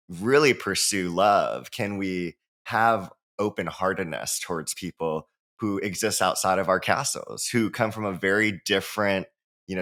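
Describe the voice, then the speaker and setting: A low-pitched man from Hawaii talks with a bright, forward edge to his voice. He is a guest author in a relaxed interview. His delivery is animated and full of "you know"s, and he breaks into laughter now and then. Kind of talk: casual interview talk.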